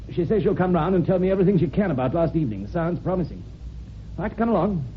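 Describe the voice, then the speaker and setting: Animated police inspector, old noisy recording. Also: male voice. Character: shrewd, businesslike male police inspector. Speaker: actor in a radio mystery. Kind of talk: old-time radio drama acting. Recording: rumbly, noisy old narrowband radio recording.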